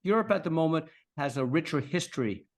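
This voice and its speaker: A fairly high-pitched man leads a podcast interview with eager, chatty questions. He trips over his words and restarts his sentences as he goes. The tone is friendly and talkative. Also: lively intonation, brisk. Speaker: podcast interviewer, league co-founder.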